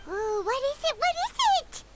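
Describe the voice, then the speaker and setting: An actress voicing a tiny, childlike, eager female fairy companion in a video game, chirpy and excitable. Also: extremely high pitch, normal speed, loud music behind the voice. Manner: excitable, speaks of self in third person.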